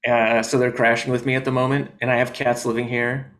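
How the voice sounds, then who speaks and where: A man as a comedian guest in a casual video-call interview, rambling with deadpan jokes and many fillers. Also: medium pitch, brisk. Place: his home in New York.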